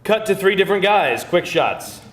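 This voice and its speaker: A man's voice: sits high, expressive, moderate pace, a bit bright. A man reading out stage directions at a live screenplay table read. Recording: echoey room with faint noise.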